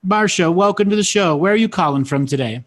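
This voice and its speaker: A man's voice: sits high, lively intonation, fairly quick. A man hosting a live call-in show, friendly and guiding, patiently repeating his questions to callers. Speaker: host.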